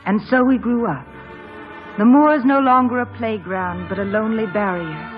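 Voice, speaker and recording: An actress plays a woman recalling her youth as narrator of an old radio drama. She is wistful and theatrical, with music clearly behind her and a dull, dated sound. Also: on the high side, normal speed, lightly acted. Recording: muffled old broadcast over music.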